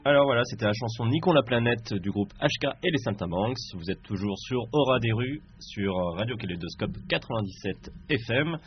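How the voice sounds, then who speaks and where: A man's voice: mid-range voice, lively intonation, brisk, hardly any pauses, a little hiss or hum. A man hosting a community radio show, chatting casually and bluntly between songs. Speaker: radio host. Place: radio studio. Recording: dull lo-fi sound, faint music.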